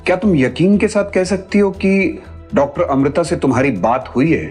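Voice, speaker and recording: Serious, husky-voiced doctor. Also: male voice, on the high side, animated, moderate pace, darkish tone, faint music behind. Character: serious, doubtful male hospital doctor. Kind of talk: Hindi audio drama acting.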